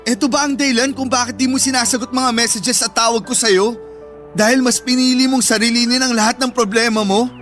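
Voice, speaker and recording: An actor playing an emotional, protective older brother with a Filipino accent in a radio drama, pleading and earnest. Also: male voice, very high-pitched, fairly even intonation, fairly quick, a bit bright. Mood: pleading, upset. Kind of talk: Tagalog radio drama acting. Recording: background music throughout.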